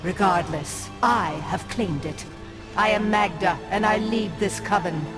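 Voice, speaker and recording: An imperious coven witch voiced by a woman in a fantasy video game. She taunts with villainous hauteur at a middling pitch, over clear music. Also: measured pace, lightly acted. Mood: haughty. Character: haughty villainous female witch, leader of a coven.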